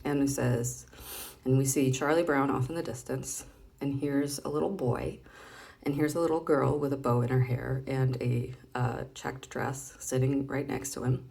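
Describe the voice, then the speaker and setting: A woman with a low-pitched voice gives a casual read-aloud. She is chatty and playful, often hesitating and thinking aloud as she explains. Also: steady tone, moderate pace, gravelly, dark tone. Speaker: vlogger reading comic strips aloud.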